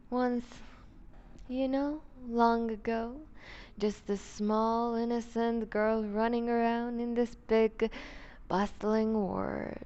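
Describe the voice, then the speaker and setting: A woman playing a seductive, possessive vampire duchess in an ASMR roleplay, speaking with deliberate, teasing condescension and breaking into whispers. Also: a little high, a little mellow, smooth, muffled recording. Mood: wistful, dark. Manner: slow, deliberate, purring condescension with whispered phrases. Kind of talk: ASMR roleplay audio drama.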